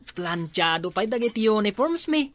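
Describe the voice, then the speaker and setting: A woman's voice: mid-range voice, very animated, normal speed, close to an everyday voice. A woman playing an agreeable schoolchild in a comedy drama, with an Ilocano Filipino accent. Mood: appreciative. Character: agreeable schoolchild who praises mother.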